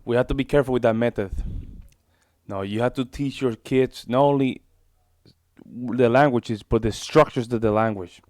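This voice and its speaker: Brief: mid-pitched male reaction host, loose and chatty, dry booth-like sound. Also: moderate pace, darkish tone, dry recording. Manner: chatty, rambling, repeats himself, informal "bro". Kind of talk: casual reaction commentary.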